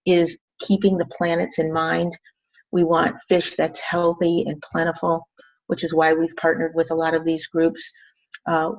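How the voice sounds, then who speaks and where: A slightly muffled conference call recording carries a woman's voice, pitched a little low. She speaks as a company chief executive presenting her business. Her delivery is polished and promotional, with emphasis placed on key phrases. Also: darkish tone. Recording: dull band-limited conference call audio.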